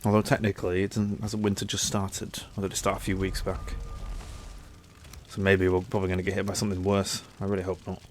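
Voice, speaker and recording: Brit guy with a cold, stuffed up nose, lowish voice, chill chatty game commentary, faint background hum. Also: completely flat delivery, fast. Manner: chatty, easygoing, thinks aloud. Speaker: gaming channel host. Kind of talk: casual gameplay commentary.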